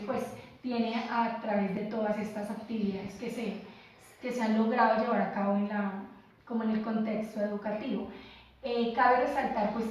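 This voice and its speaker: Woman teacher in an echoey room, slightly high voice. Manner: steady explanation with frequent filler "pues". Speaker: teacher, preschool education graduate. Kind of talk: academic panel discussion. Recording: echoey room, far from the mic.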